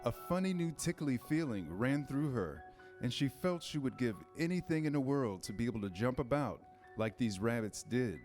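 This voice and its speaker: A male narrator tells a children's story with a measured delivery. His pitch is mid-range, and music plays faintly behind him. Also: lively intonation, darkish tone.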